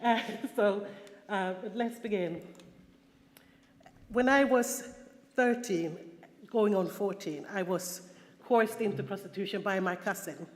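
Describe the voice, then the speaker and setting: Swedish-accented woman speaker, earnest and halting, mid pitch, recorded in a reverberant conference hall. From Sweden. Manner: earnest, halting, frequent restarts and fillers. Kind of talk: personal testimony talk with slides. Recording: echoey hall, long reverb.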